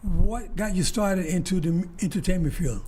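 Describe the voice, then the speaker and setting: A man hosting an interview, asking relaxed questions with frequent fillers. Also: high voice, normal speed, slight background noise. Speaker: host. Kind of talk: casual interview.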